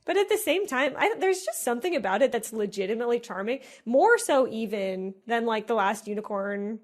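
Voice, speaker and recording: Female co-host, slightly high voice, chatty and animated, lots of "like". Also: brisk. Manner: animated, musing, heavy use of "like". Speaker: podcast co-host. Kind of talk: casual chat.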